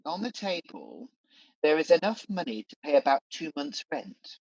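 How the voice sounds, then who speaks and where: A scheming Demon King in a radio pantomime, mid-pitched and theatrical. The delivery is sly and coaxing, and the sound is close and dry but slightly dull. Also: big pitch swings, moderate pace, dry recording. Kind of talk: pantomime radio play acting.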